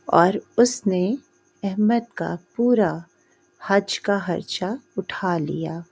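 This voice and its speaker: Husky, mellow woman narrating a moral story. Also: medium pitch.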